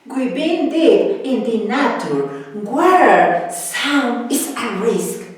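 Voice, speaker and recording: A woman from Mexico whose first language is Spanish, speaking English with a Mexican Spanish accent, giving a careful educational talk with the odd soft laugh. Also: on the high side, big pitch swings, extremely slow. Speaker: independent researcher and dancer. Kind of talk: educational video lecture. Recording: very echoey room, distant from mic.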